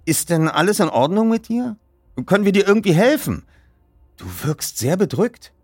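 Teen boy detective leader, read by a man: formal, articulate, assured and caring, high animated delivery for storybook dialogue. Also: normal speed, no room sound, clean studio sound, heavily acted. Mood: concerned. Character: polite, eloquent teenage boy detective, self-assured leader.

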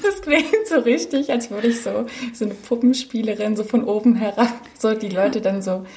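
A woman's voice: on the high side, moderate pace, a little mellow, a little hiss or hum. A young woman from East Berlin talking as a podcast co-host, direct and dryly ironic in casual chat. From East Berlin, Germany.

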